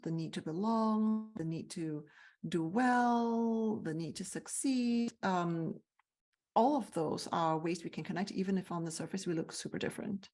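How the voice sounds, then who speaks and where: A woman's voice: lowish voice, darkish tone. A woman from Malaysia who works as a CEO coach, answering interview questions in a thoughtful, earnest way. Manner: thoughtful, earnest, with fillers and restarts. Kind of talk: interview, reflective personal answers.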